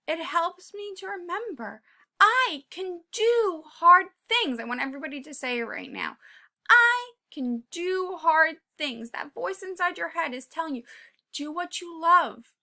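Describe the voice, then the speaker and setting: A woman reading a children's picture book aloud, pausing to talk to young listeners in an encouraging way and sometimes dropping to a whisper. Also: extremely high pitch, lively intonation. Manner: drops to a whisper at quiet moments. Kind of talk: read-aloud with commentary for kids.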